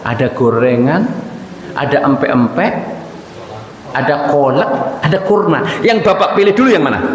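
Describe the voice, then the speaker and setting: A man preaching informally to a congregation in a conversational, joking way, putting questions to his listeners. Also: fairly high pitch. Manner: recites short Arabic quotations. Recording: muffled, noisy, echoey hall sound.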